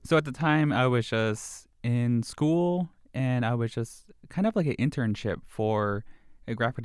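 A man's voice: mid-range voice, clean tone, dry recording. A young man photographer in a studio interview, talking in a laid-back, casual way. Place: radio studio.

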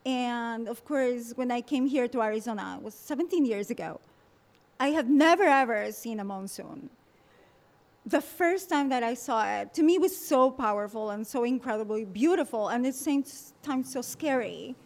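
A woman's voice: fairly high pitch, expressive, moderate pace. A woman artist from Peru, interviewed, talking with effusive enthusiasm.

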